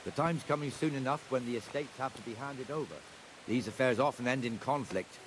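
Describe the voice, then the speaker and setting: A blunt medieval local, played by a man, explaining things in a middling-pitched voice. He is matter-of-fact, in cinematic video game dialogue, with clear ambient noise behind him. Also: a little fast, close to an everyday voice. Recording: clearly noisy outdoor ambience.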